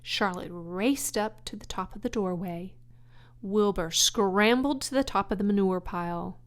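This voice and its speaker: Slow, deliberate woman reading a storybook. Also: medium pitch. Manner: deliberate, carefully phrased. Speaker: children's audiobook reader. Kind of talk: expressive storybook narration.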